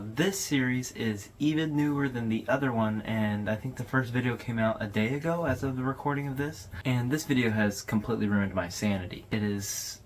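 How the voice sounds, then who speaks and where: Man commentating casually over clear background noise. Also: medium pitch. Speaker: host of a horror video overview channel.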